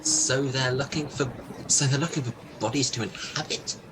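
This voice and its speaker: A man's voice: medium pitch, fairly even intonation, normal speed, background noise, lightly acted. An actor playing a chatty local man in an audio drama, informal and stumbling over his words. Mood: puzzled. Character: hesitant, chatty small-town local man.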